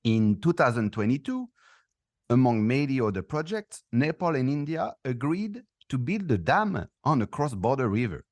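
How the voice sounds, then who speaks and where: A man's voice: medium pitch, very animated, moderate pace, a little mellow. Man hosting a podcast interview. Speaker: podcast host. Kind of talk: interview questions.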